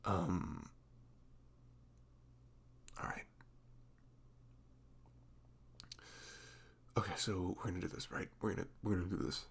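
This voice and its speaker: A man playing a sweet, goofy, nervous young boyfriend in an intimate ASMR roleplay, halting, flustered and stammering as he talks. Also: sits low, vocal fry, husky, heavily acted. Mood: nervous, apologetic. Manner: halting, flustered, stammering, apologetic, often giggly.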